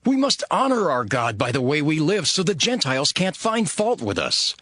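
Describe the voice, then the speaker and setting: A man's voice: on the high side, big pitch swings, normal speed, fairly bright tone, no room sound. A man playing a resolute, devout leader narrating dramatized scripture in an earnest, declamatory way. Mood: stern, indignant. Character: Nehemiah, resolute devout male leader rallying his people.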